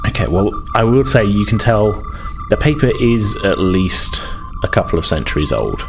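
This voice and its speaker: A man narrating a tabletop role-playing game as game master, casual and halting as he explains. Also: lowish voice, sing-song, over music.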